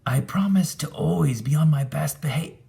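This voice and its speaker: A man reading a storybook, voicing a childlike, queasy ghost who is needy and plaintive, with drawn-out words. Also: on the high side, creaky, mellow, voice pushed up. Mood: delighted, grateful.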